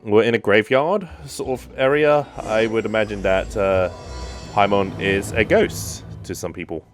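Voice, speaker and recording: A woman's voice: a bass voice, measured pace, faint music behind. A woman streamer giving casual let's play commentary on a video game.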